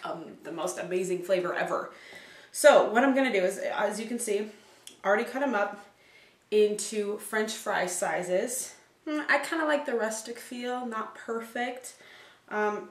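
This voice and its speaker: A woman's voice: mid-range voice, a bit bright, slight background noise. A chatty young woman walks through a recipe in her home kitchen, upbeat and easygoing. Her voice comes from a camera a few meters off, so it sounds distant and roomy. Pans and dishes clink faintly behind her. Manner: chatty and upbeat, talking while working with her hands. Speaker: cooking show host. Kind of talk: casual cooking demonstration. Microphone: camera mic from a few meters away.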